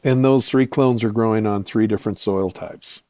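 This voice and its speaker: A man explaining things as an interview guest, telling stories in a relaxed, measured, folksy way. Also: lowish voice, no echo. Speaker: guest, vineyard owner and grape grower.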